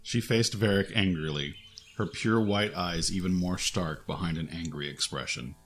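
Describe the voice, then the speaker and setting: A man's voice: deep voice, moderate pace, a bit bright, slight background noise. A man reading scripted narration for a fantasy action audio drama as its narrator.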